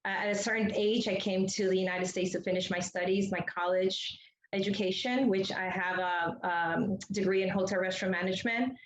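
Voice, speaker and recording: A woman's voice: medium pitch, steady tone, fairly quick, slight background noise. A middle-aged woman from Ecuador giving a chatty, rambling interview answer as a guest, telling personal stories and often saying "you know". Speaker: interview guest, entrepreneur and public speaker. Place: remote, on a video call. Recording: roomy, muffled video-call audio.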